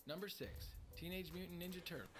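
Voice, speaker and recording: A man's voice: high voice, fast talker, a bit bright, slightly rough. A man reading scripted countdown narration as the narrator of a list video. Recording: music bed under the voice. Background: background music.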